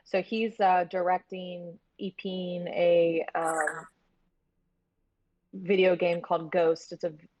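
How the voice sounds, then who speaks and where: Dull lo-fi sound, American woman, lowish pitch, casual interview guest, halting and candid. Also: flat delivery, a little mellow. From Florida, United States. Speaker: guest, horror series producer. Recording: dull, lo-fi audio.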